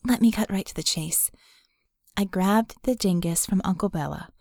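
A woman's voice: mid-range voice, normal speed, a little mellow, no room sound, studio quality, lightly acted. A woman voicing a guarded, sardonic young female mage in an audiobook, telling her story with wry candor. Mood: wry, bitter confession. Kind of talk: audiobook character dialogue.

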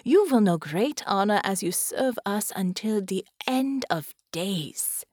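A woman narrator voicing a bored, haughty alien giant woman commander, speaking in a languid, hushed, condescending way. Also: mid-range voice, expressive, slow, husky. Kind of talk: audiobook narration.

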